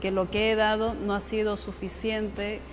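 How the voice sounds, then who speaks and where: A woman's voice: medium pitch, flat delivery, normal speed, over music, rough recording. A woman hosting a podcast interview in a conversational way, attentively summarizing and prompting her guest.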